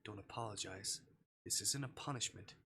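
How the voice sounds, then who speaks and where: A man voicing a calm, coldly clinical and patronizing male kidnapper in a visual novel game, flat and measured. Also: lowish voice, husky, full character voice. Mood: cold, controlled.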